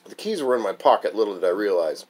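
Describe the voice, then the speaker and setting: A man's voice: on the low side, animated, fast, darkish tone. A man reading his own memoir aloud as a wry, amused storyteller. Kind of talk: memoir recitation, narrated reading.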